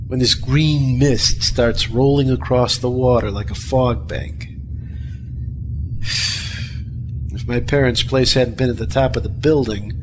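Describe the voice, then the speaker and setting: Sci-fi drama actor as sick male survivor, mid-pitched, unhurried, wry and worn down, noisy room tone. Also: natural voice. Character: weary, sardonic sick plague survivor, a man. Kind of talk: audio drama acting. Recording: clearly noisy recording with background ambience.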